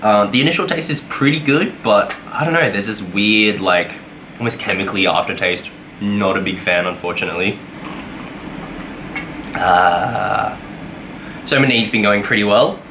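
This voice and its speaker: A man's voice: on the low side, normal speed, long pauses, noisy background. A young man giving a casual weekly vlog update, rambling with lots of fillers and self-corrections. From Australia. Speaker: vlogger.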